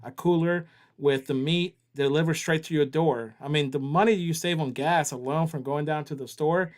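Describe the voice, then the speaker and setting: A man's voice: a little high, moderate pace. A man hosting a casual livestream, joking around and reading viewer chat aloud. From Southern US. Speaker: livestream host.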